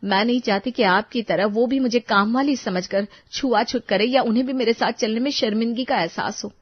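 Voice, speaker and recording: A woman voicing a humble, apologetic working mother with a pleading, self-effacing manner in an animated moral story. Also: a little high, fairly quick, husky, dry recording, highs cut off, pitched above the performer's own voice.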